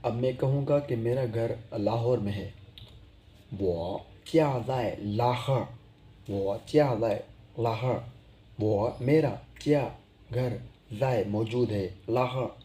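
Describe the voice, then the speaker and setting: A man giving an online language lesson as a teacher, slowly repeating each phrase so learners can drill it. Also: medium pitch, dark tone.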